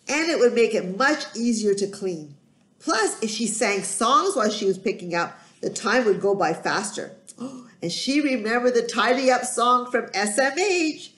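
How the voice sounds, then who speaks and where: A middle-aged woman telling a children's story in an expressive, emphatic, sing-song way, recorded in a room at home. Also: medium pitch. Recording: roomy, echoey home recording.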